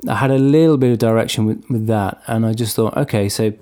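A man being interviewed as a guest composer, answering thoughtfully with frequent pauses and fillers. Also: lowish voice, steady tone, warm tone. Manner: thoughtful, hesitant, many fillers.

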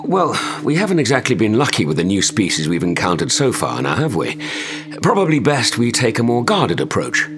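Lively-toned, polite British adventurer. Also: male voice, lowish voice, a little fast, crowd noise. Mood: cautious. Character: wary, polite, dry-witted British schoolteacher adventurer. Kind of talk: audio drama acting.

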